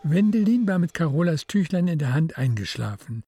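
Mellow, gravelly male radio narrator. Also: a little high, very animated, brisk, faint music behind. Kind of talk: scripted literary radio drama narration.

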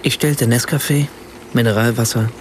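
An actor as an observant writer, narrating in the first person for a radio play with precise, understated literary phrasing. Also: male voice, medium pitch, steady tone, moderate pace, background noise, lightly acted. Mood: composed, observant. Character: observant German writer, husband and father, narrating a visit.

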